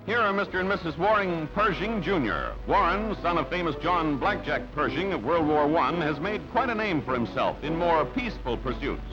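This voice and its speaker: Lively high-pitched male newsreel narrator, muffled. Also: normal speed, few pauses. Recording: band-limited old newsreel, music underneath. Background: background music.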